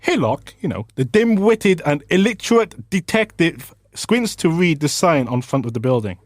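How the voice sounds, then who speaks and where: Man acting as a snarky, lively comedy narrator. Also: on the high side, moderate pace, dry recording. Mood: sardonic. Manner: sardonic, theatrical. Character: snarky male narrator who mocks the hero. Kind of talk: scripted comic radio drama narration. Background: music.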